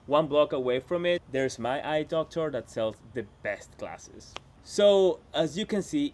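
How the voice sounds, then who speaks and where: Spanish-accented Peruvian man, comic host, teasing monologue, mid-pitched. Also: darkish tone, slight background noise. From Peru. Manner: chatty, playful, teasing asides. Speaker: comedian and show host. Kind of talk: comedic monologue to camera. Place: home in Washington.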